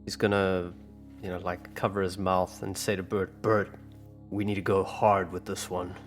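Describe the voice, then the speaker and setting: A man's voice: sits low, little pitch movement, normal speed, soft background music. A man playing a tabletop roleplaying game in casual table chat with the group.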